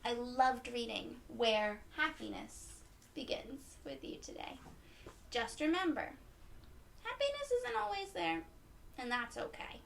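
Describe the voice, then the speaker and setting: A woman reading a picture book aloud to young children in a gentle, encouraging way, speaking directly to them. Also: a little high, sing-song, measured pace, vocal fry, a little hiss or hum. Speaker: children's read-aloud storyteller.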